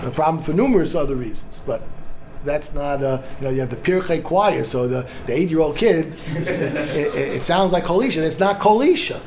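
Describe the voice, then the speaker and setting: Man, middle-aged, rabbi, lecture, Hebrew legal terms, restarts sentences, slightly high pitch. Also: lively intonation, brisk, a little mellow, a little hiss or hum. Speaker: rabbi teaching a class.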